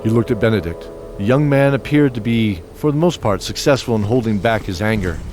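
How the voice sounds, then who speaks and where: A man narrating an audio drama in a measured, descriptive storytelling manner. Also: on the low side, animated, a little mellow. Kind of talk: scripted narration. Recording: music bed under voice.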